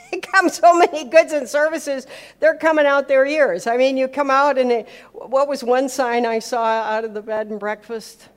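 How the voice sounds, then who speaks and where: A woman's voice: high-pitched, fairly even intonation, normal speed. A woman from America and Switzerland giving an informal teaching talk, emphatic, repeating words for effect and acting out bits of dialogue. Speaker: speaker giving a talk on faith and business.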